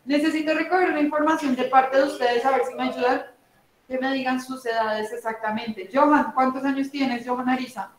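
A young adult woman teacher with a Colombian accent, taking roll in an online class on a laptop mic, brisk and repetitive. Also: high-pitched, steady tone, a little hiss or hum. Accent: Colombian Spanish. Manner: brisk, repeats names and ages, says "listo". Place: indoors at home on a video call. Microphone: laptop mic on video call. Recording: very echoey room.

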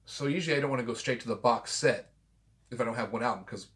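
Brief: fortyish man, casual tipsy rambler, mid-pitched everyday voice, small home room on a webcam. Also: fast, a bit bright. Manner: loose and chatty, swears freely, tipsy. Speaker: vinyl collector channel host. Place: small home room with framed pictures and a guitar.

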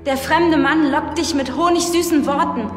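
An actress playing a fervent young novice nun in a TV drama, solemnly reciting as if in prayer. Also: female voice, sits high, moderate pace, natural voice. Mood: solemn, stern. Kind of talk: TV drama acting. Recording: echoey church reverb, music behind.

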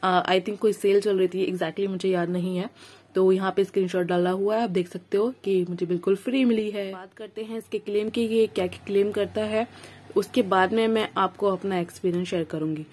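A woman with an Indian accent talks through a skincare review in a chatty, casual way. Her medium-pitched voice carries a cold, and she drops English phrases into her explanations. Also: steady tone, brisk, a little mellow. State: has a cold. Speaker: beauty vlogger reviewing skincare. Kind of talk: casual product review to camera.

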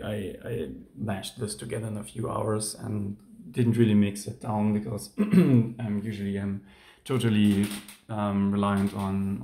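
A man with a German accent, speaking English as a second language, casually walking through his track in a home music studio with many ums and restarts. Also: fairly low pitch. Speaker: music producer.

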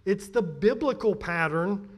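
A middle-aged American man giving a sermon as a pastor, emphatic and deliberate in his delivery. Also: sits high, lively intonation. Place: church pulpit, indoors.

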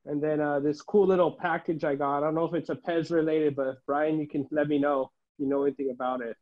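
A middle-aged man giving a casual show-and-tell tour as a collector, listing items haltingly, recorded indoors on a handheld phone. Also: fairly high pitch, level tone. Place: room at home, indoors. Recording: dull, muffled phone audio.

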